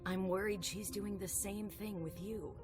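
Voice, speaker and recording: A woman's voice: medium pitch, steady tone, measured pace, lightly acted. A woman playing a cynical, protective female vampire sire in a video game, with a dry, sardonic, emphatic delivery. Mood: wary, warning. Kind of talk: video game cutscene dialogue acting. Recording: game music underneath. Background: background music.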